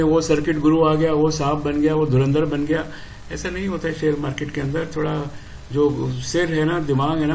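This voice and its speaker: A man's voice: a little high, darkish tone, slight background noise, low-quality recording. An Indian man giving an informal, opinionated explainer as an educator, sounding exasperated and repeating himself with rhetorical questions, switching between two languages. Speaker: stock market educator. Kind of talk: informal explanatory commentary with Hindi-English code-switching.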